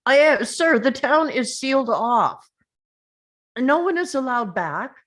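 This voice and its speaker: A woman's voice: high-pitched, expressive, slow, voice pushed up, heavily acted. A woman playing a firm, official soldier in a screenplay table read. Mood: firm, insistent. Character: firm official male soldier enforcing an evacuation.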